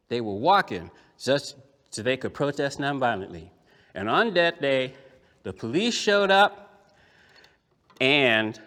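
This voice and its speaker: A man with a fairly high voice tells a story to children in a big reverberant hall. His delivery swings widely in pitch. He stresses and repeats phrases for dramatic effect, stretching out key words. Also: fairly bright tone. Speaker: puppeteer and storyteller. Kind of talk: animated storytelling performance for children. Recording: echoey hall with long reverb.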